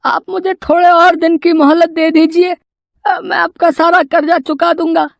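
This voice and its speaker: Very high, creaky poor farmer father voiced by a woman. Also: flat delivery, no room sound, clean studio sound. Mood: pleading. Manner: pleading, worried, drawn-out. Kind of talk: Hindi moral story cartoon dialogue.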